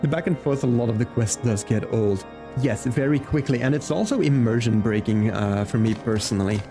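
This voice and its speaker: A man chatting casually as a game streamer, informal and halting as he thinks aloud. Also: medium pitch. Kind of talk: casual livestream chat during gameplay. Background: game music.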